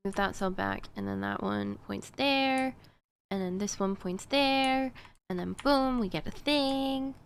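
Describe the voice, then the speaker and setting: Woman, streamer, gravelly, somewhat high voice, casual gameplay talk. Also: measured pace. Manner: muttering to herself while solving puzzles.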